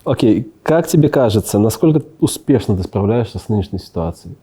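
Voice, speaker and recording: A man's voice: fairly low pitch, animated, a little fast, warm tone, breathy edge. A man hosting an interview, putting conversational questions to his guest. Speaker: interviewer, host.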